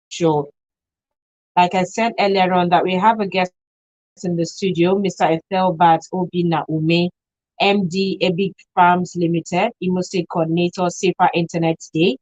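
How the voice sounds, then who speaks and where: A woman's voice: fairly low pitch, steady tone, normal speed, a little mellow, no room sound, poor audio. A woman with a Nigerian accent hosting a live talk radio show from a studio.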